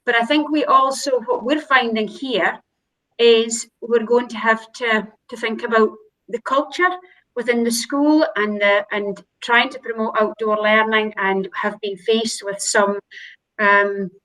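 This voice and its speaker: A woman's voice: fairly high pitch, fairly even intonation, unhurried, sharp tone, low-quality recording. A woman from Shetland with a Shetland accent, a school leader speaking earnestly on a video call with some hesitant fillers. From Shetland, Scotland.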